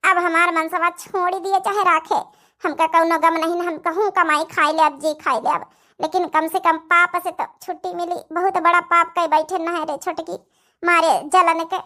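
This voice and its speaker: A woman's voice: very high voice, flat delivery, moderate pace, bright, forceful tone. A woman voicing a remorseful young daughter-in-law in a cartoon melodrama, pleading tearfully with an Awadhi accent. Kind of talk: cartoon family melodrama acting.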